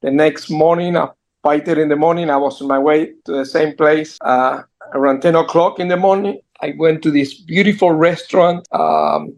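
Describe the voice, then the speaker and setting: An older man with a strong Argentine Spanish accent tells his life story on a video call. He speaks earnestly and stops and restarts his sentences often. His voice sits fairly high. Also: a little mellow. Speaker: guest entrepreneur. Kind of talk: storytelling interview. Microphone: computer mic on a video call.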